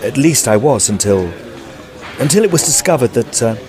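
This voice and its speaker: A man's voice: medium pitch, sing-song, moderate pace, noisy background, natural voice. A man playing an earnest, polite Edwardian estate agent who narrates a mystery in an audio drama. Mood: hesitant, apologetic. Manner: formal, polite. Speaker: narrator and character.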